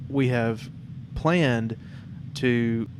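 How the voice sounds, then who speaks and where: A man's voice: medium pitch, drawn-out words. A man hosting an informal, conversational interview. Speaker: podcast host. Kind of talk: casual, informal interview chat. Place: temporary studio in a theatre. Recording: background noise and room ambience.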